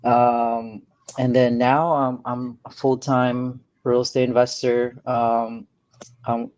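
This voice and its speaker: A man from the Philippines, a real estate investor, talking as a guest in a casual interview, earnest and soft-spoken with many pauses. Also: mid-range voice, level tone, dull audio.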